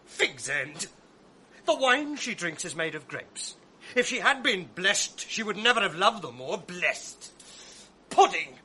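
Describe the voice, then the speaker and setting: Sly, mocking stage villain, lively swooping delivery, noisy old radio broadcast. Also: male voice, high voice, slow, full character voice. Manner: sly, mocking, drops to venomous whispers. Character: scheming, bitter male villain ensign. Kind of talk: classic radio drama acting. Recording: old broadcast with audible noise.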